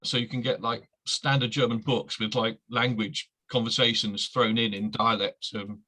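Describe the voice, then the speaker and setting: A man's voice: medium pitch, little pitch movement, normal speed, bright, forceful tone, poor audio. A man speaking casually on an online panel, chatty and self-deprecating, often stumbling over his words. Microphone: video call.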